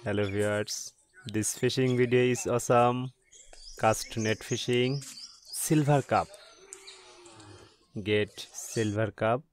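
A man giving excited, repetitive commentary by a village pond, recorded on a camera mic. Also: medium pitch, slow pace, long pauses. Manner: excitable, repeats short exclamations. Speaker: fishing channel host. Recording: noisy outdoor camera audio.

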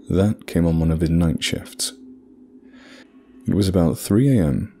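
Man, very deep voice, measured horror narration, faint background hiss. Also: a little mellow. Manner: low, measured storytelling delivery. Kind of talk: scripted horror story narration.

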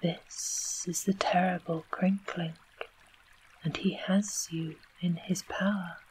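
A talking wolf's head button delivers grim warnings in a hushed, level whisper, as a storybook reader voices it. The words come slowly and steadily, close to the reader's own plain voice. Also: mid-range voice, flat delivery, slight background noise, lightly acted, poor audio. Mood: solemn. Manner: whispered, solemn.

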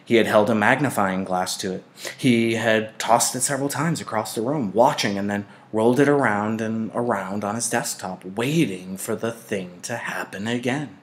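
A man reading a novel aloud like a teacher to a class, with deliberate, dramatic phrasing. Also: lowish voice. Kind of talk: expressive audiobook-style read-aloud narration.

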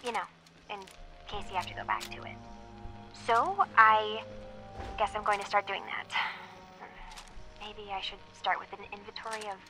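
Actress as bright-voiced eager young tinkerer. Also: female voice, mid-range voice, moderate pace, long pauses, faint music behind, close to an everyday voice, poor audio. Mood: hesitant, focused. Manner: hesitant, self-conscious, conversational. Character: eager young tinkerer daughter keeping audio logs.

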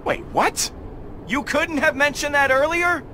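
A man's voice: very high-pitched, measured pace, bright, forceful tone, a little hiss or hum, full character voice. A man playing a cocky, laid-back young space outlaw leader in a video game, flippant and wry. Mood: exasperated.

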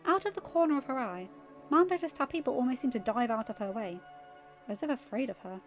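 A woman's voice: on the high side, animated, fairly quick, lo-fi sound, faint music behind. A woman narrating fan fiction as an amateur audiobook reader.

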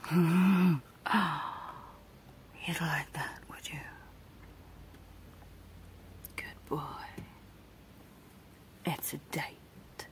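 A woman voicing a sinister, coaxing evil clown dentist in a breathy ASMR horror roleplay whisper, slow and menacing. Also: low-pitched, fairly even intonation. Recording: noisy close-up home recording.

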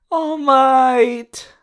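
A woman voicing a sweet, bashful, excitable teenage anime boy in an affectionate, halting ASMR roleplay addressed to the listener. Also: high-pitched, full character voice. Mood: excited, affectionate. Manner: halting, affectionate, with small hesitations.

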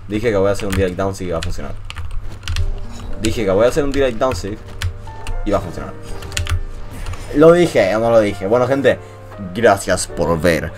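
A young man from Venezuela with a mixed Latin American and Spanish accent, commenting casually over his gameplay in an animated, swearing, joking rant. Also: on the low side. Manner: animated ranting, swears, jokey. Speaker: gaming YouTuber.

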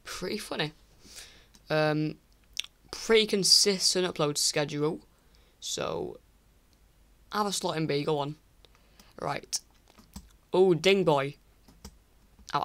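A teenage boy from England ranking online creators in casual screen-recorded commentary, rambling and apologetic with many restarts, his voice croaky from recording late at night. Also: on the high side, fairly bright tone. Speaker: YouTuber. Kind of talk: casual tier list commentary.

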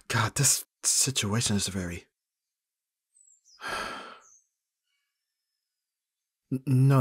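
A man's voice: on the low side, slightly rough, dry recording, clean studio sound, exaggerated voice. A man playing a flustered, awkward young best friend in an intimate ASMR roleplay, speaking hesitantly with sighs and stammers. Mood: awkward, apologetic. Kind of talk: ASMR roleplay, one-sided intimate dialogue.

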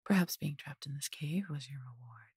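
A woman as a low, husky, possessive dragoness. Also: moderate pace, a little mellow, no room sound, studio quality, full character voice. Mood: coaxing, possessive. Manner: condescending, theatrical, often dropping to a breathy whisper. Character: possessive, haughty yet doting injured female dragon. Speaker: voice actor. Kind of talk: intimate audio roleplay acting.

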